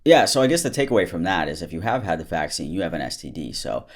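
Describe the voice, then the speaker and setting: A man's voice: low voice, sing-song, fast. A man hosting a comedic commentary video, reading out online posts and mocking them with sarcastic jokes. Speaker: YouTube commentary host.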